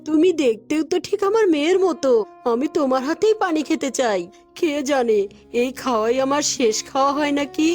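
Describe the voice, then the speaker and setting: Woman as a high-voiced, pleading frail old man. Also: normal speed, darkish tone, soft background music, close to an everyday voice. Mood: pleading, coaxing. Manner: pleading, coaxing. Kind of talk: children's cartoon voice acting.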